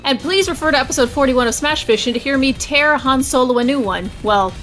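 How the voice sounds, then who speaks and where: A woman's voice: high voice, brisk, fairly bright tone, faint music behind. A woman podcast host delivering brash, mock-serious comedic speeches.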